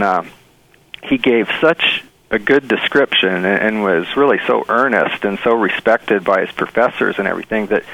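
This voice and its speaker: A man being interviewed as a bird researcher, telling stories in an earnest, enthusiastic, halting conversational way. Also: lowish voice, a bit bright, no echo, muffled recording.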